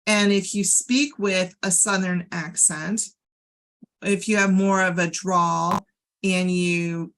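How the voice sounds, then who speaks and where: A woman with a General American accent, talking in a measured, explanatory way as a co-host in a casual podcast conversation. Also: fairly low pitch, fairly bright tone. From United States, Ohio.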